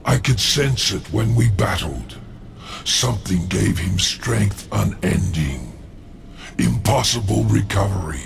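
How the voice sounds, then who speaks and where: An actor playing a proud, scheming demon lord in a video game, with a gravelly growl and a slow, formal, condescending way of talking. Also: male voice, low voice, slight background noise, full character voice. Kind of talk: video game cutscene acting.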